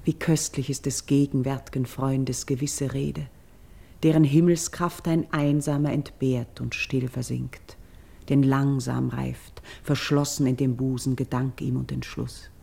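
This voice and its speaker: An actress playing a gentle, troubled priestess of Greek myth in a classical verse radio drama, speaking solemnly and lyrically. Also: female voice, very deep voice, fairly even intonation, gravelly, husky, heavily acted. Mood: tender, grateful. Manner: solemn, lyrical, deliberate stage diction.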